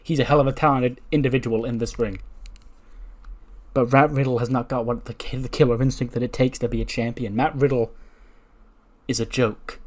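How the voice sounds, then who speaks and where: A cocky champion wrestler, put on by a man telling a story delivers a promo. He is boastful and taunting, landing each phrase slowly and with emphasis. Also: mid-range voice, lightly acted.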